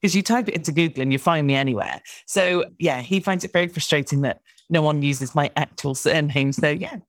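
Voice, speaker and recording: A woman hosting an interview podcast, friendly and quick, chatting with her guest. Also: low voice, dry recording, studio quality. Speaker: podcast host. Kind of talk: conversational interview.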